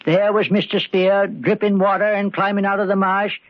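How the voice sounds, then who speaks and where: A man's voice: sits high, level tone, normal speed, no room sound, natural voice. An actor playing a stubborn, doubting country handyman with a rural American accent and a folksy, hesitant but dogged drawl, in old radio drama style. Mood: puzzled, dogged. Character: stubborn, doubting, slow-reasoning rustic handyman. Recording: dull, band-limited old radio recording.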